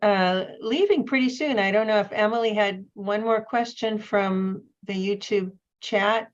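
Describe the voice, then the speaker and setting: Slow-speaking woman hosting, slightly dull audio. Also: mid-range voice. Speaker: host of a library poetry event. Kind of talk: informal hosting and thanking guests. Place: at home, on an online video call.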